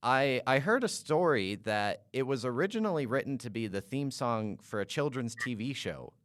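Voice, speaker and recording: A man hosting a casual music interview, phrasing his questions in a hesitant, halting way. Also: medium pitch, lively intonation, fairly bright tone, no room sound, clean studio sound. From Calgary, Canada. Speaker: interviewer, podcast host.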